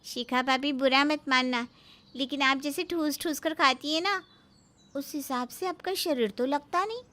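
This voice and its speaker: A woman's voice: sits high, unhurried, bright, forceful tone. A woman voicing an admiring, outspoken young sister-in-law in a family story, with a gushing, girlish manner. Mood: teasing.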